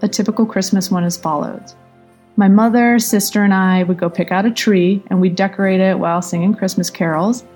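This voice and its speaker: A woman's voice: medium pitch, mellow. A woman contributing to a podcast, giving a prepared personal reminiscence. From United States, California. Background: music bed.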